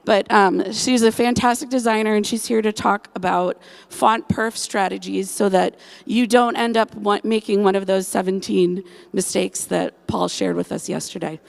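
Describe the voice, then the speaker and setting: A woman hosts a conference and brings on the next speaker in a casual, eager way. Her voice has a gravelly, creaky edge at a middle pitch. She talks with loose fillers. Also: fairly even intonation, normal speed. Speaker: conference host. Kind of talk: speaker introduction.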